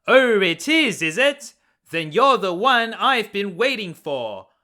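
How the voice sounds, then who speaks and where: A man voicing a big, angry, bullying troll in a children's storybook, taunting and menacing with a booming, forceful delivery. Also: big pitch swings, exaggerated voice. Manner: taunting, menacing, drawn-out questions.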